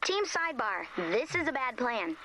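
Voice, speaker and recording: An actress playing a sardonic, bossy young teammate in a video game, with a dry, snarky delivery. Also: female voice, high-pitched, sing-song, normal speed, pushed and bright, slight background noise, full character voice. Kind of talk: video game character voice acting.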